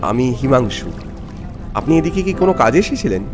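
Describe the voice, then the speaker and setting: A man playing a polite, jittery young office worker who narrates a horror story in an expressive, suspenseful way. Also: medium pitch, moderate pace, lightly acted. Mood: polite, eager. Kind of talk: dramatic scripted first-person horror narration with dialogue. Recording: music bed under voice.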